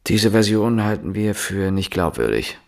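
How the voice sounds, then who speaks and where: An actor playing an insistent, probing police inspector, questioning deliberately in a naturalistic radio drama. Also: male voice, lowish voice, big pitch swings. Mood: stern, probing.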